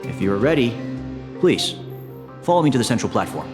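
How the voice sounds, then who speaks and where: An actor playing a polite, formal corporate assistant and host in a video game, speaking with measured courtesy. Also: male voice, medium pitch, sing-song, close to an everyday voice. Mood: courteous, composed. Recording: long hall reverb, music underneath.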